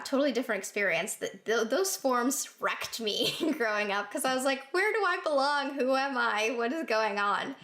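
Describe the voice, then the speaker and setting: A woman's voice: on the high side, fairly bright tone. A woman from Sudan, co-hosting a podcast, talking earnestly in casual chat. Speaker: podcast co-host.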